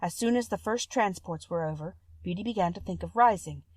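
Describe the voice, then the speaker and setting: A woman's voice: lowish voice, brisk, slightly rough, dry recording. A woman reading a classic fairy tale aloud as scripted storybook narration.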